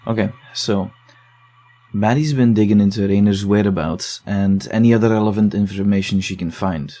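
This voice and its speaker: A man in an audio drama, calmly explaining findings and reading a patient's letter aloud. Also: on the low side, moderate pace. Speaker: investigator reading case files.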